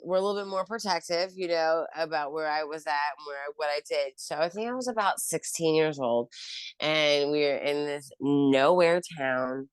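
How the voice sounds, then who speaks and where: Low-voiced woman talking in a rambling way. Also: clear, resonant tone, dry recording. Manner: rambling, heavy with "um" and "you know" fillers and restarts. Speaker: interview guest, cannabis company founder. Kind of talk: casual interview talk.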